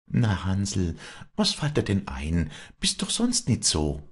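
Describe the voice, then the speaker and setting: Guy doing proud feisty alpine girl for an audiobook, bavarian-austrian dialect, pitched up and husky. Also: huge pitch swings, takes it slowly, full character voice. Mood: soothing. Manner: emphatic Alpine dialect, pleading and defiant. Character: proud, fiery young mountain woman, fiercely protective. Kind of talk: dramatic audiobook character dialogue.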